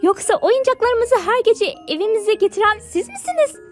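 A woman voicing a curious, excitable little girl in a children's storybook tale, with an eager, wondering manner. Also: very high voice, moderate pace, faint music behind, pitched above the performer's own voice. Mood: amazed.

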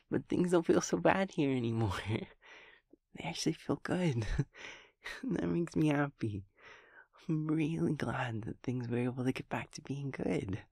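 Man playing creaky-voiced, stammering, childlike male daemon. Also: medium pitch, a little mellow, dry recording, clean studio sound. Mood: earnest, happy. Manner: stammering, repeating words, breaking into giggles. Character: childlike, sweet, scatterbrained young male empathy daemon friend. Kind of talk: intimate audio roleplay monologue.

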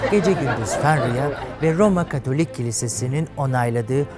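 A man's voice: medium pitch, expressive, normal speed, a loud crowd. Campy comic man with laughing audience. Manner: campy, flamboyant, exclaiming. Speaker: comic host telling a fairy tale. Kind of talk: bawdy comic storytelling to a studio audience. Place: TV studio with live audience. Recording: studio audience laughter and music behind.

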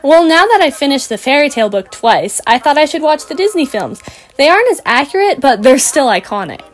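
A woman's voice: high-pitched, big pitch swings, brisk, soft background music. A woman playing an earnest, bookish, obsessive young schoolgirl in a doll cartoon, explaining herself. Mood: enthusiastic.

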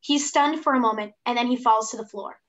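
A woman's voice: on the high side, a little fast, fairly bright tone. A young woman reading stage directions as scripted narration for a play over a video call. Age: young adult. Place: room at home. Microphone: computer mic on video call.

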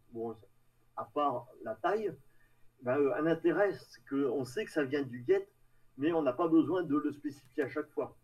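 A man giving a live technical presentation in a halting, hesitant way, trailing off between phrases. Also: mid-range voice, darkish tone, husky. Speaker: tech meetup presenter. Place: online video meeting with screen share. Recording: distant mic on online call.

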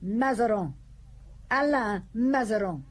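Stiff-necked old housekeeper. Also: female voice, on the high side, animated, slow. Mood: guarded, precise. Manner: curt, prim. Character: stiff-necked, guarded old housekeeper woman, devoted and curt. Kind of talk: old radio drama acting. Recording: old radio broadcast, faint noise.

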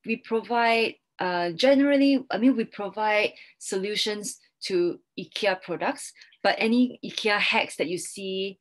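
A young woman explains things as a webinar expert, halting and repeating words as she goes. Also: fairly low pitch. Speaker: interior design expert guest. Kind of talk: webinar question-and-answer, explaining. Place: small indoor room, video call.

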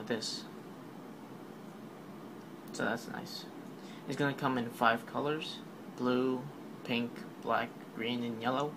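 Casual male narrator, monotone, rambling, noisy home voice-over. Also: medium pitch. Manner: offhand, rambling, filler-heavy. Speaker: tech video narrator. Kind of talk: casual voice-over recap of a keynote.

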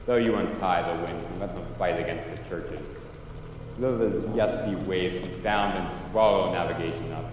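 A man playing an imperious, haunted usurper king in a radio play, declaiming intense verse. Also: low-pitched. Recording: echoing hall, noisy background.

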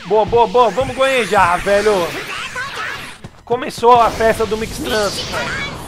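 A hoarse man with a Brazilian Portuguese accent, commenting excitedly on his own soccer game and calling out special moves. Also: high voice, slow. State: hoarse from a cold. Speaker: gaming YouTuber. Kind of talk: let's play commentary. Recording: game music behind. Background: game music.

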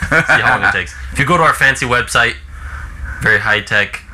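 A man's voice: medium pitch, lively intonation, brisk, sharp tone, low-quality recording. An American man in his twenties chatting casually inside a small camper. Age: 20s. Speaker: customer experience staffer. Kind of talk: casual chat. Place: small camper living area indoors. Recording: faint background noise.

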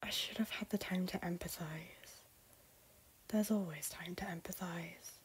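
A woman playing a solemn, wistful noblewoman in an animated drama, speaking in hushed, breathy, formal poetic phrases. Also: on the low side, measured pace, slight background noise, close to an everyday voice. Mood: regretful, uneasy. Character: solemn, wistful noblewoman nursing lost love. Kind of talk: dramatic animated-film voice acting. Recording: music under the voice.

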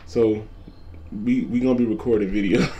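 A twenty-year-old man with a deep voice, a content creator talking casually to camera and repeating his points. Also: moderate pace, warm tone, muffled recording, slight background noise. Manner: repetitive, laid-back slangy talk, repeats lines. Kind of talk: casual vlog talk to camera.

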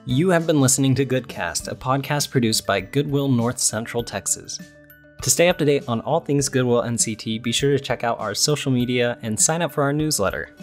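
A man's voice: mid-range voice, fairly quick, faint music behind. A man hosting a podcast, delivering scripted narration. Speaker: podcast host.

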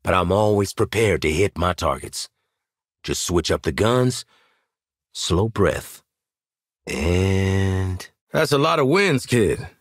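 A man's voice: lowish voice, sing-song, moderate pace, hardly any pauses, fairly bright tone, dry recording, studio quality. A man playing a cocky, wry mercenary marksman in a video game, streetwise and sardonic, barking commands to his squad and swearing freely. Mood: wry, casual.

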